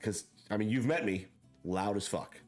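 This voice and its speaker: A man hosting a casual interview, loud, joking and self-deprecating as he talks. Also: lowish voice, normal speed, soft background music. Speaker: host.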